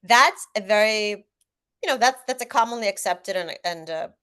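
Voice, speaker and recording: A woman's voice: mid-range voice, expressive, moderate pace, fairly bright tone. A woman whose first language is Russian speaks as a guest author on a parenting panel, explaining things earnestly with frequent fillers and restarts. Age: adult parent. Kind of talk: casual panel discussion.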